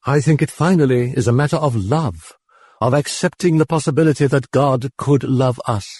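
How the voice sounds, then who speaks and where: A man's voice: mid-range voice, normal speed, darkish tone, slightly rough, no echo, clean studio sound, natural voice. A man voicing an older, weary priest in an audiobook, earnest and brooding.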